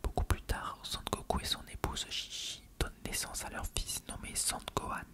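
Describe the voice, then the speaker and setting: An ASMR reader whispers a scripted text in a hushed, breathy, measured way. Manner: hushed, measured reading of an encyclopedia-style text.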